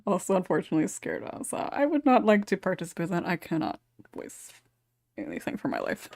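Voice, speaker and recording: A woman's voice: fairly low pitch, huge pitch swings, quick pace, darkish tone, dry recording. A woman hosting a casual panel chat, giggly and conversational, on a close microphone. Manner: giggly, laughs often while talking. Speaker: podcast host.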